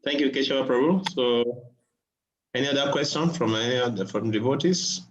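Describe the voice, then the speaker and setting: A man hosting a question session, effusive and polite, pausing often on "uh". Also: mid-range voice, low-quality recording. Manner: effusive, polite, with frequent "uh" pauses. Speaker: host moderating questions. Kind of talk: moderating a Q&A session.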